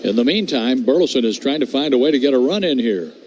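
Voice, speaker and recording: A man working as a radio play-by-play announcer, calling baseball pitch by pitch in a brisk, steady way that rises on big plays. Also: a little hiss or hum. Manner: brisk, steady calls that lift on hits.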